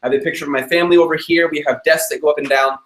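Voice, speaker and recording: A man's voice: fairly high pitch, steady tone, racing, a bit bright, roomy sound. A man hosting a live interview from his office, talking eagerly and chatting with a ready laugh. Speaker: host. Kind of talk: upbeat live-stream interview. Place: his own office room.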